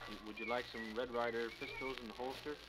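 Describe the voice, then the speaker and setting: A man working as a live radio announcer in the 1950s, coaxing children in a halting, folksy way and repeating their answers. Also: mid-range voice, fairly even intonation, very noisy. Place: toy store live broadcast.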